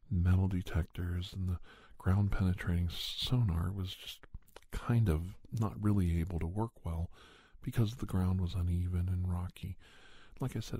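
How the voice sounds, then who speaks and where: A man telling a story in ASMR style as an earnest monster investigator, soft and breathy, with halting phrases and frequent pauses. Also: deep voice, no echo, exaggerated voice. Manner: hushed, halting, pausing often mid-sentence. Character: Doctor Andrew Michaels, earnest monster investigator recounting a hunt. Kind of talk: ASMR storytelling, told as first-person account.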